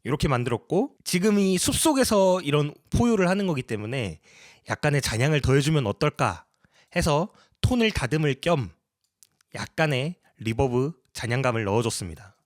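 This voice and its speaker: A man's voice: fairly high pitch, sing-song, normal speed, no room sound, clean studio sound. A man narrating a casual tutorial as a sound designer, explaining each step politely.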